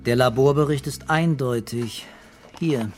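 A man plays a brooding, intuitive police commissioner in a radio crime drama. His medium-pitched delivery is musing and matter-of-fact. Music runs softly underneath. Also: a little slow, close to an everyday voice.

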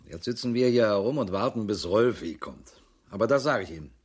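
An actor in a radio crime drama playing a sardonic, world-weary police chief in his fifties with a husky voice, grumbling as he talks. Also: on the low side, expressive, a little fast. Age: 50s.

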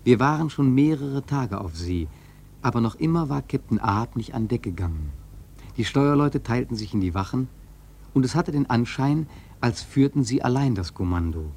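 A man's voice: mid-range voice, expressive, moderate pace, creaky, a little mellow. An actor playing a seasoned, wry sailor who recounts his whaling voyage in a radio play, musing and emphatic. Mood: quiet, brooding. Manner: musing, rhetorical, emphatic storytelling. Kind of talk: literary radio play narration.

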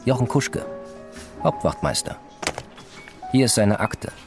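An actor playing a coaxing, matter-of-fact police officer in a German radio drama. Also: male voice, on the low side, expressive, slow, soft background music. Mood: matter-of-fact persuasion. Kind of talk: German radio play acting.

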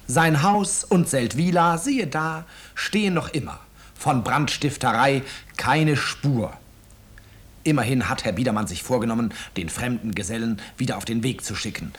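A male actor playing a wry, deliberate storyteller who narrates a 1950s German radio drama with irony. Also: mid-range voice, breathy edge, close to an everyday voice.

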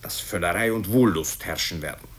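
Guy doing a pompous politician in a radio story, really low husky voice, declaiming and accusing. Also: fairly quick. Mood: accusing, righteous. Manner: declamatory, emphatic, self-righteous. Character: pompous male politician hurling accusations at his rival.

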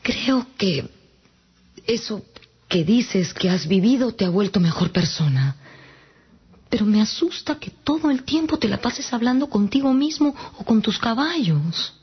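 Breathy, patient, worried wife. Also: female voice, a little high, lively intonation, a little slow, heavily acted. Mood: tender, afraid. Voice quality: very husky, breathy. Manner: tender, hesitant, pleading. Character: patient, worried, loving wife of a troubled man. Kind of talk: Spanish-language radio drama dialogue. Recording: dull lo-fi radio production. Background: faint music.

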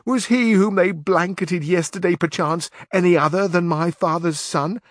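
A man's voice: animated, moderate pace, no room sound. A man doing a wailing peasant squire. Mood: indignant. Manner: high, wailing and drawn out. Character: panicky peasant squire, plain and complaining. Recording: dry close recording.

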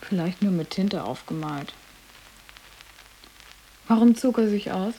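A woman's voice: medium pitch, expressive, unhurried, warm tone, a little hiss or hum, lightly acted, rough recording. An actress playing a sardonic, probing teenage boarding-school girl in a radio drama, speaking in short, pointed phrases. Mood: sardonic curiosity. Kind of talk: literary German radio drama dialogue.

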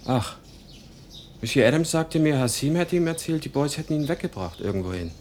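An actor in a German radio play playing a polite, reserved, quietly uneasy writer in a measured conversational way. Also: male voice, on the high side, expressive, slight background noise, close to an everyday voice. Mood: polite, probing.

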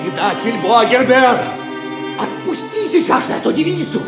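An actor playing a proud, valiant young knight who lies wounded, in an impassioned, theatrical audio drama performance. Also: male voice, extremely high pitch, big pitch swings, normal speed, exaggerated voice. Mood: furious. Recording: music clearly behind the voice.

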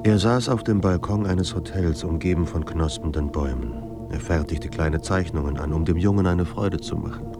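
An actor playing a sombre literary narrator in a radio drama, speaking in a measured, grave manner. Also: male voice, low-pitched. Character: sombre literary narrator of a troubled writer's life.

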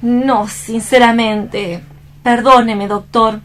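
A woman's voice: on the high side, steady tone, slow pace. An actress playing a distraught but resolute young woman in a radio drama, speaking earnestly and solemnly. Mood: grave, reproachful. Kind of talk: dramatic staged radio reading.

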